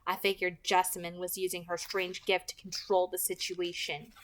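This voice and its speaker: A woman's voice: fairly low pitch, monotone, moderate pace, a bit bright, slight background noise. A woman reading a novel aloud as host, in the style of an amateur audiobook.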